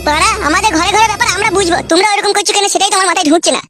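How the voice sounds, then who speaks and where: Woman as a high, sulky village lad. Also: very fast, loud music behind the voice. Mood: defiant. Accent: rural West Bengal. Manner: grumbling, defiant, crude back-talk. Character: stubborn, sulky young village man, defiant bigamist. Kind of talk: comic cartoon dialogue.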